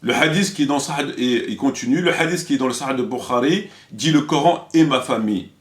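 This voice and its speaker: A man giving an informal spoken critique to viewers, halting and repetitive as he searches for words, with an indignant, emphatic edge. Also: mid-range voice. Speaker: religious commentator and video presenter.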